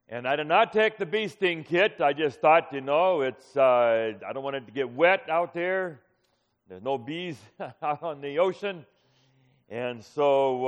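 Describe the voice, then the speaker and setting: Man, fifties, pastor, storytelling sermon, fairly high voice, long hall reverb. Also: dull audio. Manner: conversational storytelling with frequent "uh" pauses. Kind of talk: sermon with personal stories.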